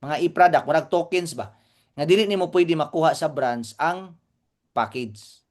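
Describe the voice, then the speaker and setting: A man's voice: on the high side, normal speed. A man with a Cebuano accent co-hosting a live training meeting, explaining informally, repeating points and checking that listeners follow.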